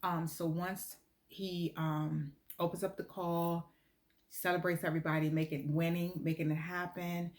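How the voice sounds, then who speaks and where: A woman's voice: lowish voice, little pitch movement, unhurried. An American woman talking informally in a promotional video, explaining earnestly and repeating points for emphasis. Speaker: lottery club promoter.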